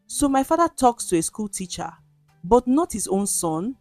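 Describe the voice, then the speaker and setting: A woman's voice: fairly high pitch, very animated, normal speed, darkish tone, no echo, soft background music, voice pushed up. Woman voicing an arrogant, indignant rich son. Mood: scornful, indignant. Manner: demanding, scornful. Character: arrogant, indignant tall man, wealthy eldest son. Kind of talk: storybook narration dialogue.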